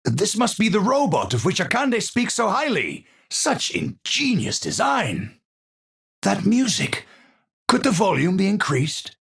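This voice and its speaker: An actor playing an eccentric, troubled genius physicist in a video game, a breathy voice and formal, precise, theatrical speech. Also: male voice, high-pitched, wildly swooping pitch, a little slow, fairly bright tone, exaggerated voice. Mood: musing, unsettled. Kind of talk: video game character voice lines.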